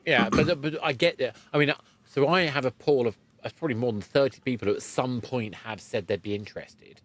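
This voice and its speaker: A man's voice: medium pitch, fairly quick. A man hosting a conversational podcast interview, often repeating and rephrasing his words as he goes. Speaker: podcast host.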